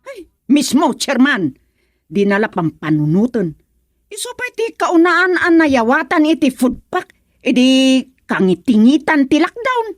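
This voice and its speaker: An actress playing an excitable, gossipy village woman in an Ilocano radio comedy, whining and exclaiming as she complains. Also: high-pitched, measured pace, no echo, studio quality. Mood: indignant. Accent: Filipino, Ilocano.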